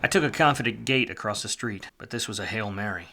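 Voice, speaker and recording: A man acting a wry, smooth-talking hard-boiled private detective in a 1940s-style noir radio play. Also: lowish voice, fast talker, bright, forceful tone, full character voice. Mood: wry, brooding.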